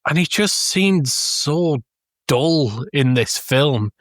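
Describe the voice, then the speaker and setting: A man co-hosting a casual film discussion, making his points in a halting way. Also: a little high, animated, no room sound, studio quality. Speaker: podcast co-host.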